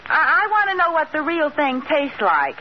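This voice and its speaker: A playful, mischievous wife in an old American radio comedy. Her voice is high and theatrical, with cheeky delivery. It comes through a dull recording with clear background noise. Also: big pitch swings, moderate pace. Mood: breezily blunt. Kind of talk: 1940s radio sitcom acting.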